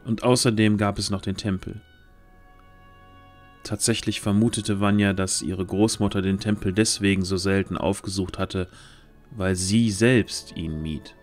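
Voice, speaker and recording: A man's voice: low-pitched, fairly even intonation, a little slow, faint music behind. A man narrating a scripted fantasy story as the narrator of an audio drama.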